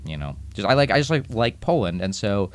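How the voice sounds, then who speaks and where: A man, a guest chatting casually, relaxed and rambling, laughing often. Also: on the low side, fairly quick, noisy background. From United States. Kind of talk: casual chat.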